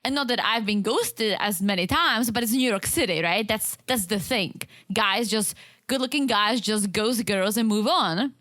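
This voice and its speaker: A woman co-hosting a podcast about a reality show, chatting casually and acting out quotes in an animated way. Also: mid-range voice, moderate pace, dry recording, studio quality. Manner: animated, acts out quotes from the show, frequent restarts. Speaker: podcast co-host.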